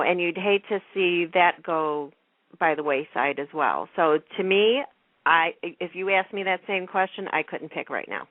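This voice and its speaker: A woman's voice: fairly low pitch, dry recording. A woman hosting a radio show, chatting casually over a muffled, telephone-like line. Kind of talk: casual chat.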